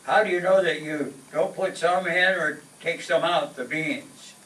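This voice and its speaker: A man's voice: on the high side, measured pace, a bit bright. An actor playing a henpecked, skeptical family man and wisecracking pal in a 1940s-style radio sitcom. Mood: skeptical banter. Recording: echoey room, distant mic, faint music.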